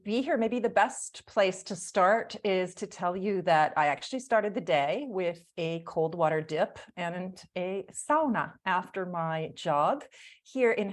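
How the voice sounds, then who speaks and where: A middle-aged woman from Canada, an author chatting as the guest in a video call interview, talking with frequent ums and self-corrections. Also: fairly low pitch. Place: indoor home room. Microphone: earphone headset on video call.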